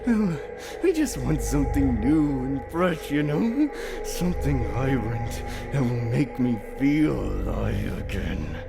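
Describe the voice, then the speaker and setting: Man acting a slow, taunting, unhinged pig-masked killer. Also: mid-range voice, sing-song, vocal fry, over music, full character voice. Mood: gleefully sinister. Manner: slow, drawn-out, taunting with giggles.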